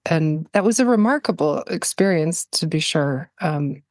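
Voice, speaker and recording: A woman with a slightly low voice speaks as a guest in a reflective conversation. She is thoughtful and halting, often searching for the right word. The recording is dry and free of room sound. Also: lively intonation, darkish tone, dry recording. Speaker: podcast guest, poet.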